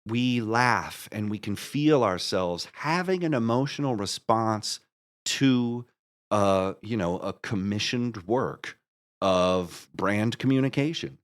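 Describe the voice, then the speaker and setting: Male podcast interviewee. Lower register, earnest conversational delivery, halting phrasing with emphatic repeats. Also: animated. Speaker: podcast guest, musical creator.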